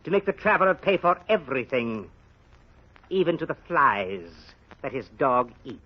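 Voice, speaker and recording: Vintage radio play: sly, grasping innkeeper, husky voice, muffled old sound. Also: male voice, medium pitch, big pitch swings, moderate pace. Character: cunning, grasping male innkeeper. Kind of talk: old-time radio drama acting.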